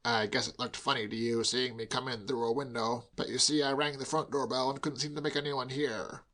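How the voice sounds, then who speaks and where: A man's voice: medium pitch, steady tone, brisk, bright, forceful tone, lightly acted. A man voicing a small, weedy, nervous burglar who speaks in hesitant, placating tones.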